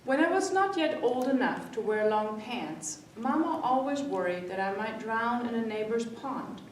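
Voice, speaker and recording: Echoey, distant woman reading a children's story. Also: normal speed. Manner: expressive, acts out the dialogue. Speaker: library story-time reader. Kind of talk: read-aloud children's storytelling. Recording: echoey room, distant mic, faint rumbly noise.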